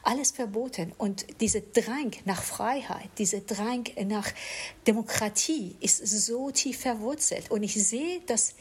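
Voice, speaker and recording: A woman's voice: on the high side, measured pace, darkish tone, slightly rough. A middle-aged woman from Iran speaking German as a second language, telling her story earnestly on a panel and repeating words for emphasis. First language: Persian. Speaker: democracy educator, guest. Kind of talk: personal testimony in a panel talk. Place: event room with an audience.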